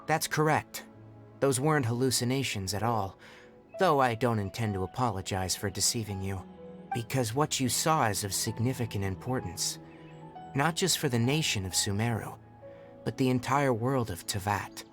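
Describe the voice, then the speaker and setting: A man performs a young male forest ranger and scholar for a video game. He is composed and formal, explaining things in measured, articulate phrases at a middling pitch. Faint music plays underneath. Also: natural voice. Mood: composed, explanatory. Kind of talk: scripted video game voice acting.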